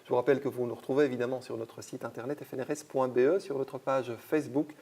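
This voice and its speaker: A man's voice: mid-range voice, fairly even intonation, fast talker, vocal fry, mellow. A man hosting an interview, asking questions attentively and affirming often. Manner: attentive, frequent backchannel affirmations. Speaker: interviewer host. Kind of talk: institutional interview.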